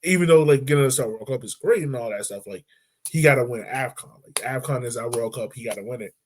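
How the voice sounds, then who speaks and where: A man hosting a podcast, chatting about football in a relaxed, slangy way, full of "like". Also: mid-range voice, fast talker. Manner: relaxed, slangy, repeats phrases with "like". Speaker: podcast host. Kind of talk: casual sports chat.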